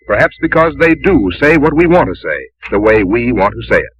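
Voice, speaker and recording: A man reading a scripted 1950s radio sponsor message with polished, sentimental, deliberate phrasing. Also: medium pitch, fairly bright tone, breathy edge, poor audio. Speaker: radio announcer. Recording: old 1950s radio broadcast recording.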